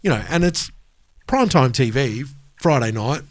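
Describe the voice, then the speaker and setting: Australian man, mid-pitched, very dry sound. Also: animated, moderate pace, no echo. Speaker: podcast host. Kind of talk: casual chat.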